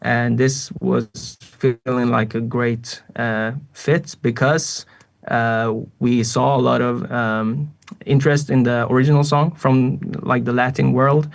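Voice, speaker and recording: A man from Sweden with a Swedish accent, a musician answering interview questions in a relaxed way with many fillers. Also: mid-range voice, takes it slowly, gravelly. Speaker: musician guest.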